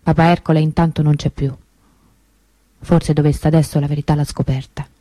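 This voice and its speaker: An actress playing the grieving, bitter sister of a kidnapped girl in a radio monologue, measured and emphatic. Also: low-pitched, flat delivery, mellow, no room sound. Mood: grave recounting.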